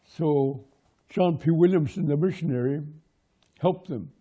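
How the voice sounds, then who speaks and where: A man whose first language is Lakota, from Pine Ridge, telling his community's oral history in measured, short, plain sentences. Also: fairly high pitch, warm tone, breathy edge, highs cut off. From Pine Ridge, South Dakota. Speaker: interviewee, local historian.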